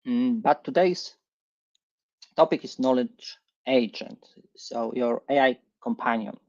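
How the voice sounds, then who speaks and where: A middle-aged man with a Polish accent gives a live tech presentation, speaking haltingly and repeating words. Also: mid-range voice, a little mellow, lo-fi sound. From Poland. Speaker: guest presenter. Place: online livestream session.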